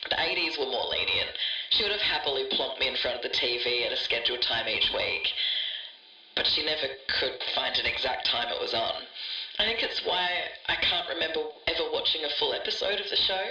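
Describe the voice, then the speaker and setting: A woman's voice: a bass voice, pushed and bright. A woman in her forties reminiscing in a muffled, echoey, distant voice note. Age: 40s. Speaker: podcast contributor, computer programmer.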